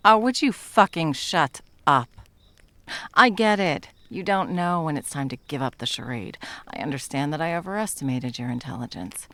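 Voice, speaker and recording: Woman acting low, gravelly, bitter monster hunter. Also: expressive, moderate pace, a bit bright, no room sound. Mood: furious. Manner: mocking, sarcastic, profane. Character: bitter, sarcastic seasoned female monster hunter, betrayed lover. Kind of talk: dramatic ASMR roleplay monologue.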